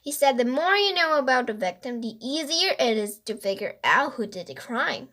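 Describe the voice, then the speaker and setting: A woman's voice: high voice, very animated, moderate pace, sharp tone, exaggerated voice. A woman voicing a bossy, inquisitive young girl detective in a children's storybook, emphatic and questioning. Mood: eager.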